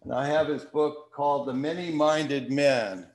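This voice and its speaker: A man's voice: a little high, steady tone. An older man hosting from his home study over a video call, talking in a reflective way and reading a poem aloud. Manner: unhurried, reflective. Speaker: host. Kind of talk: casual talk and poetry reading. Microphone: video call webcam.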